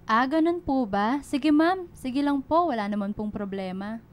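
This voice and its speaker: An actress playing a humble, hardworking Filipino mother and laundrywoman in a radio drama, gentle and polite. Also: high voice, lively intonation, normal speed. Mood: humble, grateful.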